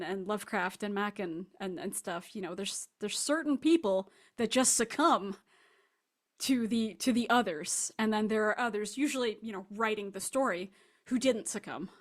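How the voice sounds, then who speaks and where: A woman speaking as an author guest in a casual, reflective interview, animated and thinking aloud as she explains her writing. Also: medium pitch, normal speed. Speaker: author guest discussing her short story.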